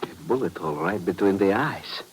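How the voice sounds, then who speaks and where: An actor playing a secret agent's cautious, questioning partner, speaking precisely and formally in a fifties radio drama. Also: male voice, low-pitched, animated, fairly quick, noisy background. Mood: alert, concerned. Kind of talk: 1950s radio drama acting. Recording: vintage radio recording, background murmur.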